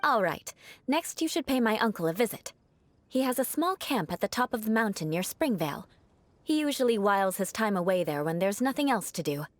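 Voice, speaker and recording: A woman's voice: medium pitch, very animated, fairly quick, no echo, lightly acted. A woman playing a proud young noblewoman knight in a video game, aloof, formal and teasing.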